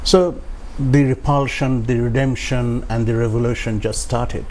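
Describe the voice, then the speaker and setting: A man in his sixties with a Bangladeshi accent tells his own story as an interview guest, haltingly, with filled pauses. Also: mid-range voice, steady tone, a little mellow, slight background noise. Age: 60s.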